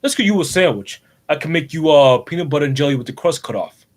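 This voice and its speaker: Kindly cop dad, played by a man, patient, dutiful, conversational, with lively intonation. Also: fairly high pitch, quick pace. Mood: caring. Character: kindly policeman father of a young boy, patient, dutiful.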